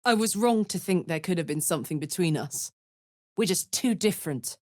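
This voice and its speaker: A woman's voice: on the low side, animated, normal speed, a little mellow, no room sound, studio quality. An actress voicing a brash, big-hearted tiefling warrior woman in a video game, talking bluntly like a battle-hardened soldier with her feelings in the open. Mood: subdued. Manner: blunt, informal, emotionally open soldier's talk. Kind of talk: video game character voice acting.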